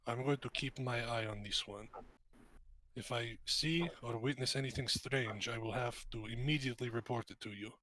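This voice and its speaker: A man's voice: medium pitch, moderate pace, sharp tone, lightly acted, poor audio. Man playing a stiff, suspicious adventurer. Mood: grave suspicion. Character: Kiba, stiff, suspicious, formal-spoken adventurer. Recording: background noise with typing.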